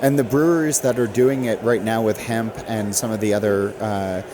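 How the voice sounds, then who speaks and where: A man's voice: on the low side, fairly even intonation, moderate pace, crowd noise. A man hosting a podcast, chatting casually with a guest and reading plugs at the close. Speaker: podcast host. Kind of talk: casual interview and show sign-off.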